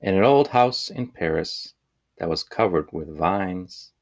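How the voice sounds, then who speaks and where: A man reading a children's picture book aloud in measured, deliberate phrases with pauses. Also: deep voice, lively intonation. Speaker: storybook reader.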